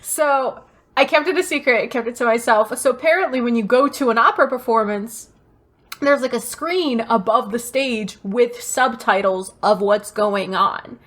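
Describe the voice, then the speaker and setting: High-voiced woman in casual podcast banter. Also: moderate pace, a bit bright. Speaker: podcast co-host. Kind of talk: casual comedic podcast chat.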